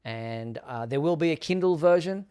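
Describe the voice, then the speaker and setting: A man hosting a live show, talking casually and haltingly in short phrases with pauses. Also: on the high side, animated, dark tone, smooth.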